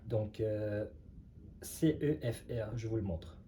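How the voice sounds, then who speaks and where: A man's voice: lowish voice, slow pace, slight background noise. A man teaching a casual online language lesson, hesitant and conversational, with many filler sounds. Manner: relaxed, conversational explaining. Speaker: French teacher.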